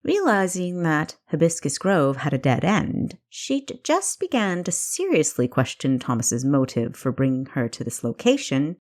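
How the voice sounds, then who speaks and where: A woman's voice: low voice, darkish tone. A woman reading scripted story narration as the narrator of an audiobook. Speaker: author narrating own audiobook.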